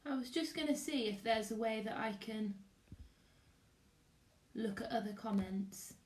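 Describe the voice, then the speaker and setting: A woman's voice: a little high, measured pace. A British woman, a primary school teacher, chatting casually on a livestream and greeting viewers in a chirpy, sing-song way. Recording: roomy, echoey room sound.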